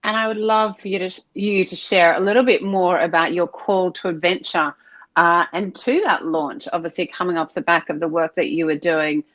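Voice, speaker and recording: A woman's voice: on the low side, fairly even intonation, moderate pace, a bit bright. A woman hosting a business podcast, polished and upbeat as she greets and questions her guest. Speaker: podcast host. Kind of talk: scripted intro, then interview host. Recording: dull lo-fi sound with music behind.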